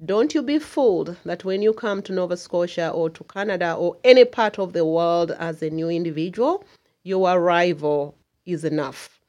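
A middle-aged woman with a lowish voice, talking in a radio interview. She speaks earnestly and with heartfelt emphasis. She leans into her key points. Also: lively intonation, moderate pace, darkish tone. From Uganda. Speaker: community association chair.

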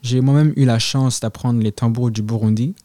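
A man hosting a cultural radio program, reading a formal, measured introduction of his guest. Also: mid-range voice, steady tone, warm tone, no room sound. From African diaspora in Canada. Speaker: radio host.

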